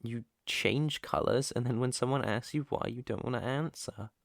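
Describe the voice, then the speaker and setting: A British man playing a protective, gentle, clueless alpha werewolf in an intimate ASMR roleplay, speaking softly and reassuringly close to the mic. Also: mid-range voice, vocal fry, no echo, close to an everyday voice. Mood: sleepy, affectionate. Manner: soft, tender, unhurried, reassuring. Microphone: close microphone, dry.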